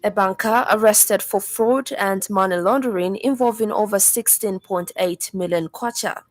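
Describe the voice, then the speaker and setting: A woman's voice: medium pitch, moderate pace, dry recording, faint music behind. Female Zambian news reader for radio bulletin, formal scripted delivery, mid-range voice, dry studio sound.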